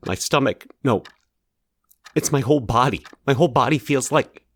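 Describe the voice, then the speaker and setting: A man voicing an anxious, halting man confessing a recurring nightmare in a horror audio story. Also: mid-range voice, animated, husky, no echo, voice pushed up. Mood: frightened, pleading. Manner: nervous, halting, stumbling over his words. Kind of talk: dramatized horror audio fiction.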